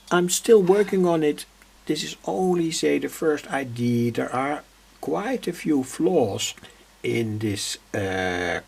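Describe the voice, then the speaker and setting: A man giving an unscripted electronics demonstration, halting as he searches for words, with a husky, creaky voice. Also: on the high side, a little mellow. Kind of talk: unscripted workbench demonstration vlog. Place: indoor hobby workbench.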